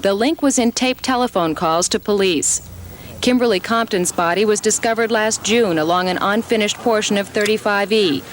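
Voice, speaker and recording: A woman's voice: medium pitch, normal speed. A woman giving a scripted 1980s television news report as a reporter. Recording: archival TV report, faint outdoor noise.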